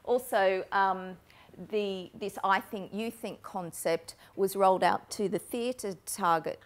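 A woman's voice: mid-range voice, fairly even intonation, slow pace. A woman in her forties giving a conference talk in a lecture room on a lapel mic, explaining steadily with frequent ums. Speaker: keynote speaker on marketing. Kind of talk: conference presentation. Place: lecture room with a projector screen.

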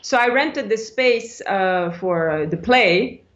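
A woman's voice: medium pitch, animated, takes it slowly. A woman in her forties from Switzerland, talking as a guest on a video call interview, chatty with frequent laughs and restarts. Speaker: guest, actress. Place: small indoor room by a window. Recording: dull lo-fi video-call audio.

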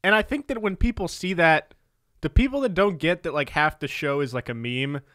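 A young man talking loosely on a podcast, his voice sitting a little high for a man. He rambles and laughs a lot, close to the mic with a dry, dead sound. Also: normal speed, fairly bright tone, no echo. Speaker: podcast co-host. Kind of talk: casual chat. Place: small room with posters, indoors. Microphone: studio mic close up, headphones.

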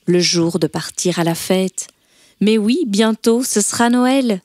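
A woman's voice: mid-range voice, takes it slowly, no room sound. A woman narrating a scripted children's story as a storyteller. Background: soft background music.

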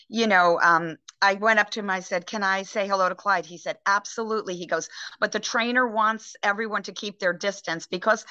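Bright-voiced woman on a video call. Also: medium pitch, smooth, no room sound. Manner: chatty, rambling, frequent ums and restarts. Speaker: actress guest. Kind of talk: casual interview over video call. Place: home room, indoors. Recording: dull lo-fi video call audio.

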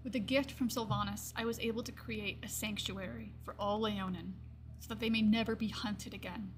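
A woman voicing a gentle old gray-furred lion-folk druid in a fantasy game monologue, wistful and reflective, with pauses and hushed trailing phrases. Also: mid-range voice, steady tone, slight background noise, lightly acted, rough recording. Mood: earnest, reminiscing.

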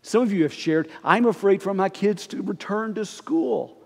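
A middle-aged man, a pastor, preaching a conversational, expressive sermon while standing before the altar. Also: high voice, normal speed, vocal fry, slightly rough. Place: church sanctuary before the altar. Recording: long reverb of a church sanctuary.

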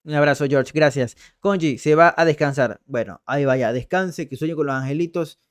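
A man's voice: a little high, moderate pace. Sarcastic young man streaming. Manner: sarcastic, reads poll numbers aloud, greets viewers. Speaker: livestream political commentator. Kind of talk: casual political livestream commentary. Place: small room indoors. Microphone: headset.

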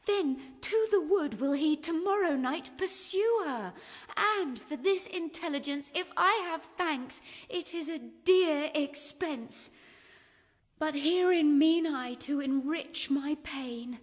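An actress with a British accent playing a lovesick, spurned young noblewoman, speaking measured theatrical verse in a Shakespearean radio drama. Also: high voice. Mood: aggrieved, resolved. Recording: long hall-like reverb.

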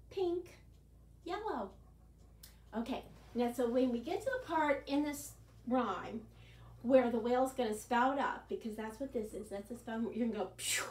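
Woman in her sixties, children's librarian, sing-song storytime, slow delivery, distant mic. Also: fairly high pitch. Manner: animated and sing-song, with gestures. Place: living room, seated in an armchair. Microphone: camera at a distance. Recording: echoey room, far from the mic.

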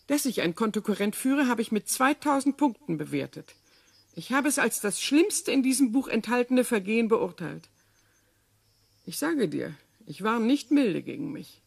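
An actress playing a genteel, coolly calculating older wife in a radio drama, speaking precisely and formally. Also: female voice, a little high, animated, moderate pace, creaky, husky, no echo, exaggerated voice. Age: middle-aged or older.

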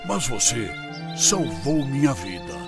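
A man voicing a proud, gruff lion king in a children's cartoon fable, with an imperious, theatrical delivery. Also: mid-range voice, sing-song, slow, music in the background. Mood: humbled, grateful.

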